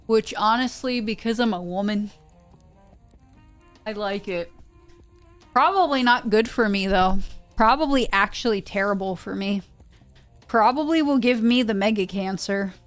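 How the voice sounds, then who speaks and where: A woman's voice: mid-range voice, unhurried, long pauses, no echo. A woman hosting a livestream read-along, chatting casually in a playful, rambling way, with English as her second language. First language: not English. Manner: playful, rambling, breaks into sound imitations. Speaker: livestream host reading pulp science fiction aloud. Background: faint music.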